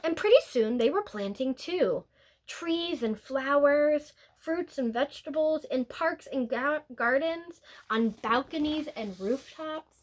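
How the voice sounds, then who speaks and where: A woman reading a picture book aloud as a teacher would, in a deliberate, expressive storytelling manner with drawn-out words and pauses. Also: high-pitched, low-quality recording. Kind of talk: picture-book read-aloud, scripted narration with brief asides.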